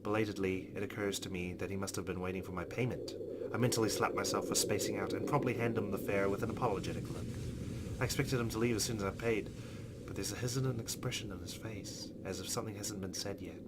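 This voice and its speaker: A man with a fairly low voice hosts a game playthrough. He reads story text aloud and drops in his own asides. Loud noise runs behind him. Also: fast. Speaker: let's play host. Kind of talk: reading visual novel narration aloud with asides. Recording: loud steady background noise.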